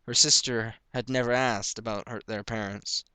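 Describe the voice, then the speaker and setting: A man's voice: mid-range voice, steady tone, normal speed, sharp tone, clear, resonant tone, dry recording. A man reading a story aloud as scripted third-person narration. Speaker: story reader.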